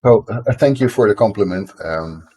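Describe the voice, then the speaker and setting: Dutch man, 30s, deep voice; rambling, self-deprecating indie dev streaming from his home desk, slight cough. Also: expressive, moderate pace, mellow, breathy edge, faint music behind. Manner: rambling, self-deprecating, frequent fillers and restarts. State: coughing now and then. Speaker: game developer streamer. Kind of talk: casual live-stream chat.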